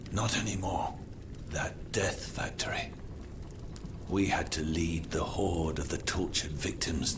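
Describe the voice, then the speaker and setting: An actor playing an anguished, guilt-ridden Paris executioner in a video game cutscene, rambling theatrically. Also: male voice, deep voice, background noise. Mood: dazed. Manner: theatrical, rambling, dropping into breathy near-whispers.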